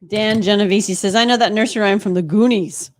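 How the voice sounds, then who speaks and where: A woman's voice: medium pitch, steady tone, a little fast. A woman co-hosting a live stream, reading out viewer chat and bantering casually in a playful, teasing way.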